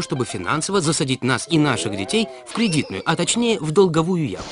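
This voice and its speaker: A man delivering scripted explainer narration in a didactic way, putting rhetorical questions straight to the listener. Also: mid-range voice. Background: background music.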